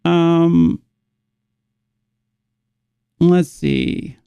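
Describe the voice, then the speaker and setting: A man's voice: fairly high pitch, sing-song, drawn-out words, gravelly, warm tone, clean tone, dry recording. A man from the Netherlands, teaching a live guitar lesson and rambling as he thinks aloud, stopping to restart his sentences.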